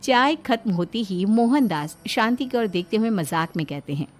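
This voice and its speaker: A woman's voice: medium pitch, expressive, normal speed. A woman narrating a scripted cartoon story as its storyteller.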